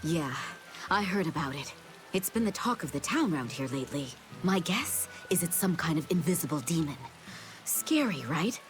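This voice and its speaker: A gossipy villager in a fantasy game, chatty and a little anxious. Her voice is fairly low with lively swings, and music plays behind. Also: moderate pace. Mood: chatty, curious. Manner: chatty, speculative. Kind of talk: video game voice acting.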